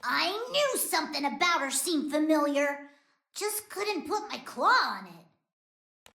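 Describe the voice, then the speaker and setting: A tiny, blunt creature sidekick for a game dub. The voice is extremely high and sharp, swinging up and down excitably, with echo on it. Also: female voice, slow, vocal fry, full character voice. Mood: amused, surprised. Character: small, chirpy, clawed creature companion. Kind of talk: English game dub voice acting. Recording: echoing voice, faint music.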